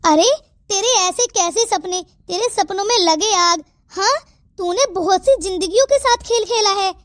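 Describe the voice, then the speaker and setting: A woman's voice: very high voice, normal speed, dry recording, studio quality. A woman voicing a stern, indignant mother in a theatrical, scolding style for a Hindi family cartoon. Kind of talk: Hindi moral-story cartoon dialogue.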